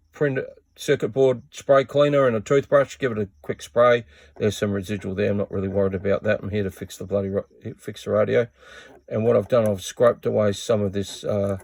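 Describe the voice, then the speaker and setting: A man's voice: on the low side, a little mellow, no echo. A man giving a casual hands-on repair tutorial in a plain-spoken, matter-of-fact way.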